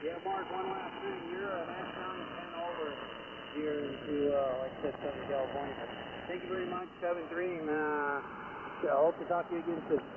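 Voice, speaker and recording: Higher-voiced man through heavy radio static. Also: big pitch swings, a little fast, gravelly. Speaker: amateur radio operator calling in. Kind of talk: casual ham radio chat. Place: outdoors, front yard portable radio setup. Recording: distant, tinny radio link with loud static.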